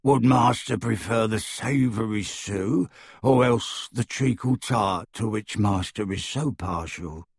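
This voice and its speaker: An old male house-elf servant from a storybook. He croaks in a low, gravelly voice and speaks slowly, fawning with formal deference. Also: no echo, clean studio sound, full character voice. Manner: slow, obsequious, third-person deference.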